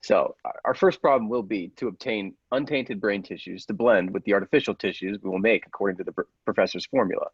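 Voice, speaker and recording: A man playing a grave, persuasive scientist's assistant in a radio play, deliberate and theatrical, with secretive zeal. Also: on the low side, breathy edge, dry recording, muffled recording. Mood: businesslike, explanatory. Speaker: podcast actor.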